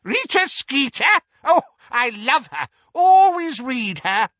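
A man voicing a haughty, gossiping great-aunt witch over a hundred years old in a storybook, shrill, screechy and imperious. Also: very animated, slow pace, fairly bright tone, no echo, dull audio, heavily acted. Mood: gleeful. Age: over 100. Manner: imperious, blunt, tipsy.

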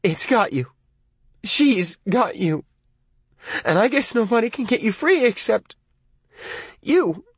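A man's voice: sits high, very animated, measured pace, slightly rough, no room sound, pitched above the performer's own voice, full character voice. A man voicing a frightened teenage girl who pleads on the phone, her voice wavering toward tears. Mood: pleading, tearful. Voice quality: wavering, tearful.

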